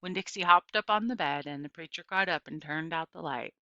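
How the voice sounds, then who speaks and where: A middle-aged woman reading a children's novel aloud as expressive storytelling, with deliberate, emphatic phrasing. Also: low voice, no echo, highs cut off. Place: small indoor room with bookshelves.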